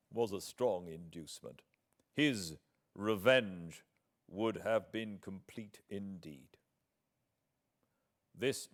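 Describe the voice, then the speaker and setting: Guy reading as a proud british gentleman from an old novel, low voice, very formal and measured, grave, sounds wronged. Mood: earnest, solemn. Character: proud, wronged English gentleman writing a formal, earnest letter. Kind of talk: classic novel audiobook reading.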